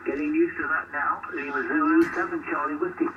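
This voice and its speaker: A man's voice: a little high, level tone, fairly quick, distant mic. Man on a noisy, narrowband shortwave radio. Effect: shortwave radio transmission. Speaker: amateur radio operator. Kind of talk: casual chat over ham radio.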